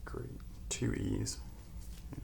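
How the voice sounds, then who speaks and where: A man speaks softly and gently as a doctor in an ASMR medical roleplay, reassuring and unhurried, at times dropping toward a whisper. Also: lowish voice, huge pitch swings, creaky, noisy background. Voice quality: soft, hushed, at times whispered. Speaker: ASMR performer playing a doctor.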